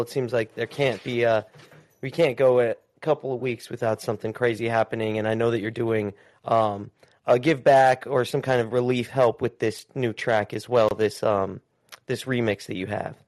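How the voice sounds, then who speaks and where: Guy hosting a call-in chat, friendly, lots of yeahs and uh-huhs, mid pitch. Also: steady tone, moderate pace, darkish tone. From United States. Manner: friendly, supportive, with frequent "yeah" and "uh-huh". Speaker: interview host. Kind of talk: casual call-in interview.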